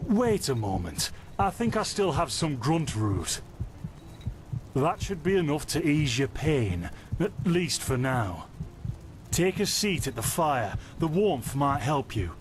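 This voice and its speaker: Kindly, chatty apothecary, lively higher voice. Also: male voice, slow pace, background noise. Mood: friendly, helpful. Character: kindly, anxious male traveling apothecary in a fantasy world. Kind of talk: fantasy game character dialogue.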